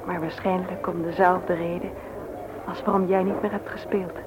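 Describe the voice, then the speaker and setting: A woman's voice: on the low side, little pitch movement, normal speed, natural voice. An actress playing a candid, talkative widowed mother in a naturalistic radio play. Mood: quietly frank. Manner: talkative, confiding, forthright. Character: candid, chatty widowed mother, frank about her feelings. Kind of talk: naturalistic radio drama acting. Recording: muffled, noisy old radio play recording.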